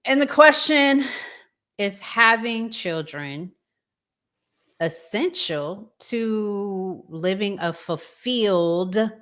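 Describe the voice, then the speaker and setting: A woman's voice: medium pitch, animated, very slow, fairly bright tone. A woman hosting a talk show in an informal, colloquial way, introducing topics for discussion.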